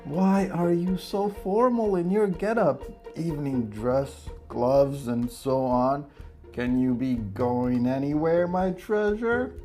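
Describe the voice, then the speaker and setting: A man's voice: on the high side, slow, darkish tone, soft background music, voice pushed up. A man reading a play aloud and voicing an effusive older landowner who fawns over his guest with endearments. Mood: gushing. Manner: gushing, calls everyone darling and angel.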